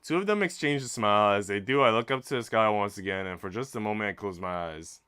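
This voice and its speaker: A man's voice: on the low side, fast, fairly bright tone, clear, resonant tone, no echo, studio quality. A man streaming, reading a visual novel aloud and chatting casually with his audience. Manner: informal, slangy when chatting.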